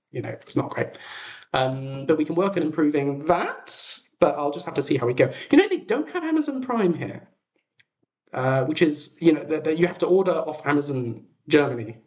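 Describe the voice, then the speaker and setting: A man's voice: on the high side, a little mellow. A man chatting casually on a livestream as its host, rambling and self-deprecating, in an echoey untreated room. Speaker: livestream host and audio drama creator. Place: echoey room at home, makeshift streaming setup.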